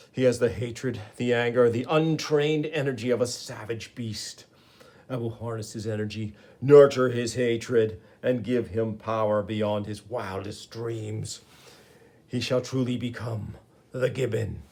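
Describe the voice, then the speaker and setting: A man voicing a cold male supervillain who murmurs his plans slowly and quietly. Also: vocal fry. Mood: scheming. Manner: low, slow, gloating murmur. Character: cold, scheming male supervillain plotting in secret.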